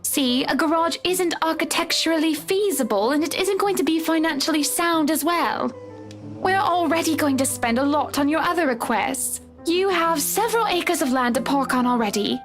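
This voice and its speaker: A woman's voice: sits high, moderate pace, faint music behind, lightly acted. A woman playing a chatty, self-assured wealthy wife in a dramatic visual novel, with a breezy, assertive manner. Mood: defensive, assertive. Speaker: game character.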